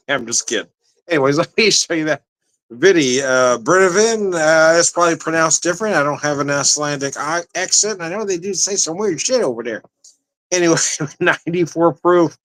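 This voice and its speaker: A man's voice: on the high side, normal speed, a bit bright. Chatty man rambling through a tasting. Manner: chatty, rambling, laughs often. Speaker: drinks reviewer. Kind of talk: casual tasting review.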